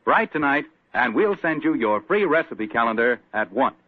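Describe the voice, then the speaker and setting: Animated American 1940s radio announcer man. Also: mid-range voice, moderate pace, no room sound. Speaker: announcer and sponsor spokesman. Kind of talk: folksy 1940s radio advertising pitch. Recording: dry, muffled 1940s radio broadcast.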